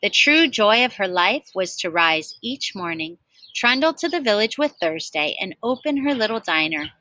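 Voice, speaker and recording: A woman's voice: medium pitch, big pitch swings, normal speed, pushed and bright, a little hiss or hum, low-quality recording. A woman reading a children's storybook aloud expressively, like a teacher, with emphatic stresses and chatty asides.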